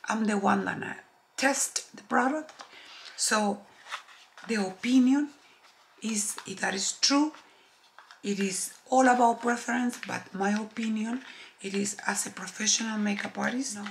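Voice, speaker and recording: A middle-aged woman from Chile with a strong Chilean Spanish accent, a makeup artist speaking slowly and softly to camera, often dropping to near-whispers. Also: medium pitch, gravelly, slight background noise. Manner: slow, soft-spoken, drops to near-whispers, earnest. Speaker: makeup artist and beauty vlogger. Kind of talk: makeup tutorial chat to camera. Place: home studio with shelves of products, indoors. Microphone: camera close-up, upper body framing.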